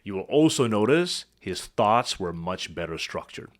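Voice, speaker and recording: A man's voice: on the low side, very animated, measured pace. A man working as a speaking coach, commenting on a client's video and quizzing the viewer with rhetorical questions.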